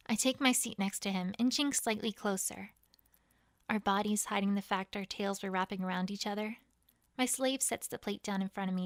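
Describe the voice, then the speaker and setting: A woman's voice: medium pitch, no room sound, studio quality. A woman reading fan fiction as first-person story narration, in character as a proud pony queen.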